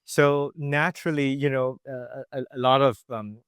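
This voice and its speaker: A young man from Malaysia chats loosely through an interview, his voice smooth and resonant. He fills pauses with "um" and "like" and laughs softly. The sound is close and dry. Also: medium pitch, fairly even intonation, no room sound. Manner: chatty, many fillers and laughs. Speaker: guest, visual artist. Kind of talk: casual interview answers.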